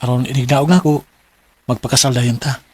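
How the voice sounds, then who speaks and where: Husky, earnest young boyfriend played by an actor. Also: male voice, mid-range voice, steady tone, brisk, a little mellow, natural voice. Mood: earnest, tender. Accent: Cebuano. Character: earnest young male graduate, devoted boyfriend. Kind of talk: radio drama acting.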